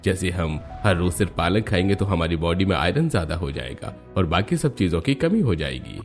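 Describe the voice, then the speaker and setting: A deep, lively-voiced cartoon doctor. He explains things patiently and swings widely in pitch. Soft music plays underneath. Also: normal speed. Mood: advising. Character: male doctor, calm and advising.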